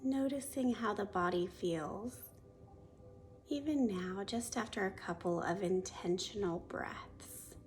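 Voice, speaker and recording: A woman yoga teacher leading a guided meditation in a soft, soothing, gently instructive voice that often trails into a breathy near-whisper. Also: mid-range voice, slow, slight background noise.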